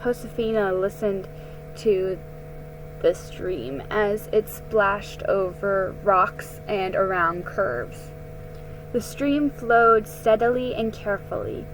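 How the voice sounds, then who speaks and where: A woman giving a dramatic reading of a story, halting and repeating words as she goes. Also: mid-range voice, soft background music, rough recording. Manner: slow, halting, stumbles and rereads words. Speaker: storybook reader.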